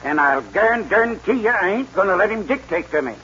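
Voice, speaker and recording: An actor playing a defiant, honest homesteader in a 1940s radio western, talking bluntly and firmly. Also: male voice, sits high, lively intonation, a little fast, bright, forceful tone. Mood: defiant, stern. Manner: firm, blunt Western drawl. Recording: dull, faintly noisy 1940s radio recording.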